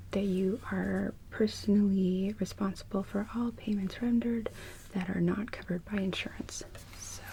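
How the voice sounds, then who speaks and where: A woman performs a whispered ASMR roleplay as a medical receptionist. Her voice is soft and mellow at a middling pitch, with short, orderly phrases delivered gently. Some faint background noise sits behind her. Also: poor audio.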